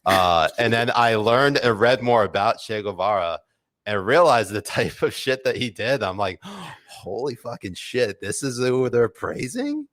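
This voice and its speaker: A man's voice: medium pitch, animated, measured pace, fairly bright tone, no room sound, clean studio sound. A man in his thirties co-hosting a podcast, chatting casually, swearing freely and laughing often as he rambles through stories. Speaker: podcast co-host. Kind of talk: casual chat.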